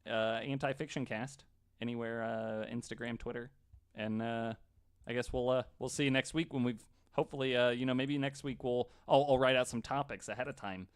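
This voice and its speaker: A man's voice: mid-range voice, fairly even intonation, a little fast, clean tone. Irreverent, sweary male podcast host. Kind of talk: casual chat.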